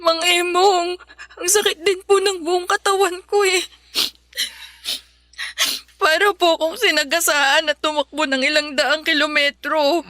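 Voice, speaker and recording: An actress with a Filipino accent playing a gentle, suffering young woman in a radio drama, polite, hesitant and plaintive. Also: high voice, no room sound.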